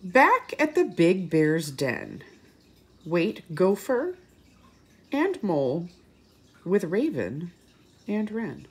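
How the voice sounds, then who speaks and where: A woman reading a picture book aloud expressively for young children, with pauses, asides and questions to her listeners. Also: lowish voice, big pitch swings, breathy edge. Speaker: children's story reader and teacher.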